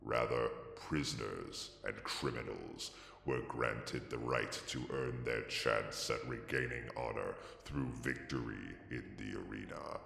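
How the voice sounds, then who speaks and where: An actor playing a solemn ancient chronicler of a warrior people, narrating a video game in a grave, ceremonious style. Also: male voice, rumbling low, measured pace, vocal fry, hardly any pauses, pushed and bright, heavily acted. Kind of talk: scripted dramatic narration. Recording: cavernous reverberant game narration.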